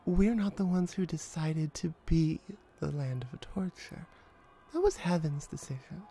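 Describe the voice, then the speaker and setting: A woman playing a flirty, laid-back female hellhound in an ASMR audio roleplay, talking to the listener in a wry, conversational way with hesitant pauses. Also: low voice, dark tone, slight background noise. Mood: measured, defensive.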